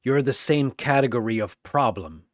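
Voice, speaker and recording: A narrator voicing a grim, stoic masked vigilante man who gives terse, flat retorts. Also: medium pitch, normal speed, no echo, studio quality, natural voice. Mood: cold, controlled.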